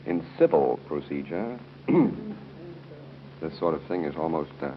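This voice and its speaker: Male, American, low voice, animated intonation, genial joking quizmaster, vintage 1930s radio, dull noisy recording. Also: takes it slowly. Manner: genial and joking, chuckles between questions. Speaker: quiz show host. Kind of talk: 1930s live radio audience quiz hosting, ad-libbed banter. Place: hotel room at a club luncheon.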